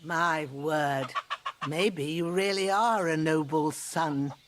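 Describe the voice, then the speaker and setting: A woman's voice: low voice, slow, noisy background. An actress playing an older village herbalist mother in a game, chatty and reassuring in rustic speech.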